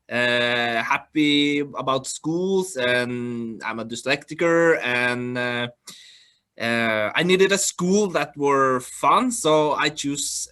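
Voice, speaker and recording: Slow, bright-voiced Norwegian man, halting. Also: mid-range voice. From Norway. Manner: halting, searches for words, many fillers. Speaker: guest. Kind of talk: casual video-call interview. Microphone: video call.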